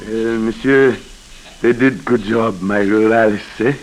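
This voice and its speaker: An actor playing a fierce, proud Haitian governor with a French-Caribbean accent and broken, emphatic English, in a 1940s radio drama. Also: male voice, on the low side, steady tone, unhurried, natural voice. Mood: proud, subdued. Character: fierce, proud Haitian governor, a rough-spoken military man. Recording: noisy, crackly 1940s radio broadcast.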